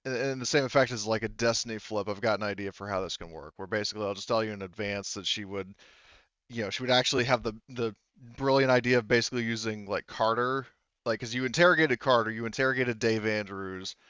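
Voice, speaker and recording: A man running a tabletop roleplaying game, improvising narration and explaining options in a halting, rambling way. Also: lowish voice, a bit bright, clear, resonant tone, no echo, dull audio. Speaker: game master.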